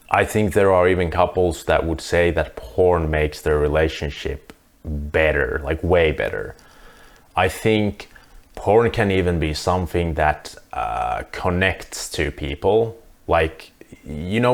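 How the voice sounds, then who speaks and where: A Finnish man speaking English as a second language, earnestly talking through his views to camera with frequent restarts. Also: low-pitched. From Finland. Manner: earnest, thinking aloud with restarts. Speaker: vlogger. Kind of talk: casual monologue to camera.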